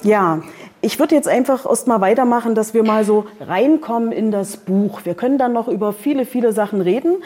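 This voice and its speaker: A woman's voice: fairly high pitch, expressive, fairly quick, dark tone. Chatty German woman hosting a reading. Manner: chatty, hesitant with many fillers. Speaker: publisher hosting a book presentation. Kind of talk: casual event moderation. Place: event venue with audience.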